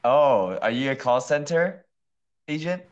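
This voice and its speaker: A young man hosting a casual live stream from home, speaking close to a phone. His voice swings widely in pitch as he teases and laughs. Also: expressive, a little slow, dull audio. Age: young adult. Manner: teasing, laughs often, plays an irate customer. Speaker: livestream host. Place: indoor room at home. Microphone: phone held close.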